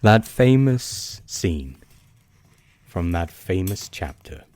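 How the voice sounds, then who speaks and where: A man hosting a storytelling podcast, narrating from a script in bedtime-story style with dramatic pauses and savoured phrasing. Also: sits low, very animated, a little mellow.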